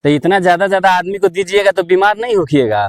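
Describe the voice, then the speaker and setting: A man's voice: high voice, fast, no room sound, clean studio sound. A man with a Bihari accent working as a reporter, questioning someone over the phone in a pushy, goading way. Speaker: local reporter interviewing by phone. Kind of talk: phone interview, casual confrontational questioning.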